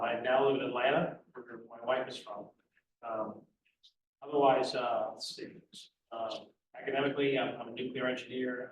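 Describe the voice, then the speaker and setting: A man serving as competition judge, reading out rules in a measured way with frequent fillers. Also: mid-range voice, far from the mic, highs cut off. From United States. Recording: echoey room heard from afar, faint noise.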